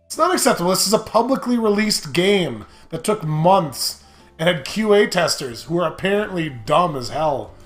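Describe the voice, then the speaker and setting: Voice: mid-range voice, animated, a little slow. A gamer giving casual, comedic let's play commentary with sarcastic, hyperbolic, mock-outraged banter. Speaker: let's play host reading a visual novel aloud. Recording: roomy echo, game music behind.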